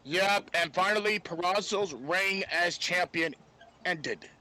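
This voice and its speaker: A man's voice: high voice, slow pace, crowd noise. A man chatting casually as a podcast panelist, with noise behind his voice. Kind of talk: casual chat.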